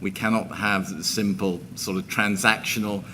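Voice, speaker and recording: A man speaking on a panel in a measured, formal way, with hedging fillers. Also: fairly low pitch, steady tone, sharp tone, a little hiss or hum. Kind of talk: panel discussion.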